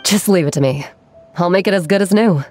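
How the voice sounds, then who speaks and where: A woman voicing a kindly, modest craftswoman in a video game, with a gentle, composed manner. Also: fairly low pitch, fairly quick, soft background music, close to an everyday voice.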